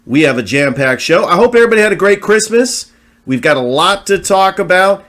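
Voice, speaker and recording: A man's voice: high voice, expressive, brisk, a bit bright. A man hosting a sports talk show, speaking energetically and conversationally with fillers and restarts, his voice still recovering from having been lost.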